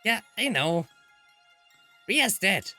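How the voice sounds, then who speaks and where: A man's voice: high voice, sing-song, extremely slow, bright, forceful tone, no room sound, soft background music, exaggerated voice. A man who is a native German speaker voicing a distraught, hot-headed young visual novel protagonist in an emotional, exclamatory read-aloud. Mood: shocked, grieving.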